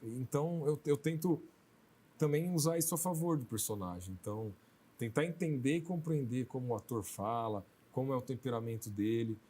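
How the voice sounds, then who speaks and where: A man's voice: medium pitch, normal speed, mellow. Brazilian man talking conversationally in an interview. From Brazil, outside Maranhão. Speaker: film director being interviewed.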